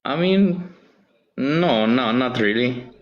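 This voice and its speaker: A male caller with a Romanian accent, a native Romanian speaker, asking measured, hesitant questions in a call-in debate. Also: medium pitch, lively intonation. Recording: lo-fi call audio.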